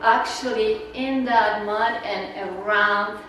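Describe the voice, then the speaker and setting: A woman's voice: mid-range voice, steady tone, slow pace, fairly bright tone. A woman with a Czech accent giving a casual, rambling slide talk to a naturalist club, full of fillers. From Czech Republic. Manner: chatty, rambling, with fillers and laughs. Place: meeting room. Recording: echoey room, voice from far off, noisy.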